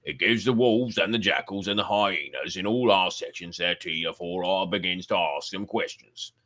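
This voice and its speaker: Man doing a blunt old zookeeper, loud and hearty, fairly low voice, trips over the dialect. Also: normal speed. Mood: gruff, hearty. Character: gruff elderly male zookeeper, blunt and rough-spoken. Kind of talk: amateur audiobook reading.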